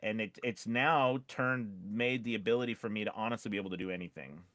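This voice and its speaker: Male podcast co-host, lowish register, conversational advice-giver with emphatic repetition, dry recording. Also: brisk, a bit bright, no echo. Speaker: podcast co-host and voice-over coach. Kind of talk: casual chat with advice to voice actors.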